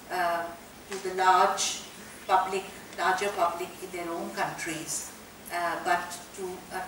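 A woman's voice: mid-range voice, fairly bright tone, a little hiss or hum. A middle-aged woman moderating a panel conversation on an auditorium stage, hesitant, with many filler sounds. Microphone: handheld mic. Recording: echoey hall heard from far off.

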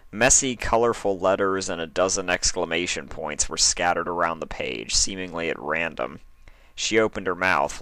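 A man's voice: deep voice, bright, forceful tone, no echo, rough recording. A man reading stories aloud as narrator, with a casual, storytelling style. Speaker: fan fiction reader and narrator.

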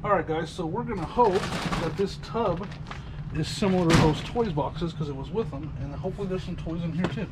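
A man's voice: on the high side, fairly quick. Chatty middle-aged man sorting finds. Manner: chatty, upbeat, thinking aloud while sorting items. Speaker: storage locker reseller. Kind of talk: casual unboxing commentary. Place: metal storage unit. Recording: loud background noise.

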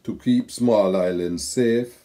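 Older man, from Saint Kitts and Nevis, measured letter reading, slow, dark timbre, webcam at home. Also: lowish voice. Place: home room with bookshelves.

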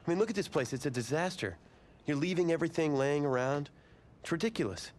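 A man's voice: a little high, fast talker, a little mellow, lightly acted. A man playing a cocky, combative young college quarterback in a video game cutscene. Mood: irritated. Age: young adult. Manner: combative, self-assured.

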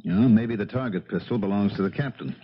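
A man playing a calm, probing, skeptical police lieutenant in a 1970s radio drama, questioning in a measured, matter-of-fact way. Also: fairly low pitch, lightly acted. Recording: muffled vintage radio broadcast recording.

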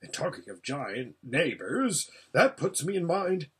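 A man voicing an easygoing, complacent little hedgehog from a storybook, chatty and folksy in an unhurried, rambling way. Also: on the high side, very animated, breathy edge, exaggerated voice. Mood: placid chattiness.

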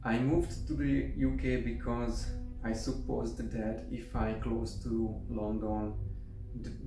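A young man from Hungary with a Hungarian accent, talking to the camera in a casual, halting way at home. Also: fairly low pitch, vocal fry, reverberant, recorded from a distance, rough recording. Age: young adult. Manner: halting, careful, with restarts. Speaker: vlogger. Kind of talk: casual vlog monologue. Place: room at home, indoors. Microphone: camera mic at a short distance.

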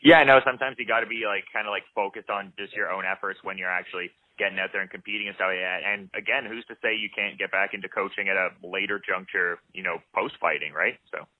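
A male host speaks quickly in a lowish voice, stringing long, winding questions together. The sound is dry and thin, like a phone line. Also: fairly even intonation, no echo. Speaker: interviewer, host. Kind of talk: casual interview questions. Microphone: studio mic with headphones.

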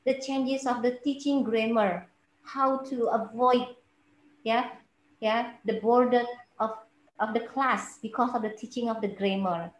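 Indonesian lady lecturer, high voice, lots of yeah and okay, echoey room on a video call. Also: steady tone, measured pace, slight background noise. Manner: repeats "yeah" and "okay", restarts her phrases. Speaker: lecturer, workshop speaker. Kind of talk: explaining in plain, halting English.

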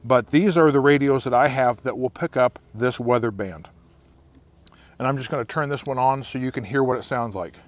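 A man's voice: mid-range voice, moderate pace. Older American man explaining informally. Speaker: outdoor preparedness video host. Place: outdoors at a table in woods. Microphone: lapel mic.

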